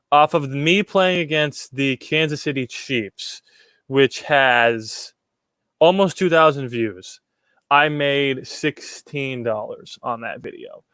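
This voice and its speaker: A man's voice: mid-range voice, sharp tone, smooth, dry recording. Rambling young man on a livestream. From Puerto Rico. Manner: rambling, repeats words, frequent ums. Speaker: livestream host answering chat. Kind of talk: casual livestream chat.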